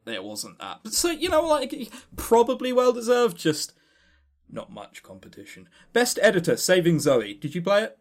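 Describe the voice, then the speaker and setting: Male podcast co-host: highish voice, animated swings in pitch, chatty, rambling and wryly sarcastic. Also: normal speed. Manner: rambling, sarcastic, dry humour; swears casually. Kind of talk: casual chat running through award lists.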